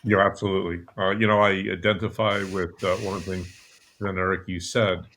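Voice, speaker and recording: An older man, reminiscing conversationally as a guest on an online call, in halting phrases with rhetorical questions. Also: deep voice, level tone, a bit bright, slight background noise.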